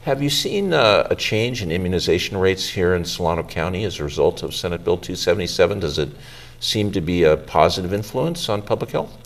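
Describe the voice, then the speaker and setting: A middle-aged man, a physician, hosting a health show, presenting in a measured, formal way and interviewing a guest. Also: deep voice, lively intonation.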